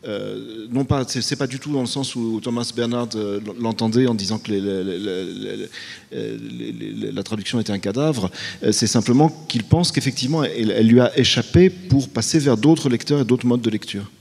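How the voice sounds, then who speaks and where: A man on a round-table panel speaks haltingly, repeating small words before landing on emphatic points. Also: medium pitch, gravelly, darkish tone. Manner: hesitant, many repeated words, then emphatic. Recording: long hall reverb.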